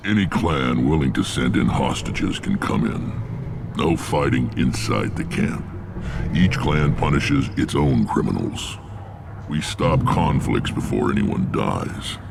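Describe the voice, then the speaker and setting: A massive alien warlord from a video game, with an extremely deep, heavily creaking voice. He speaks bluntly and with weathered authority, as a leader of clans, over clear background rumble. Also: expressive, slow pace, heavily acted. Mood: firm, proud. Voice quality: gravelly, rumbling. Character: huge gruff alien warlord clan leader, commanding, weathered.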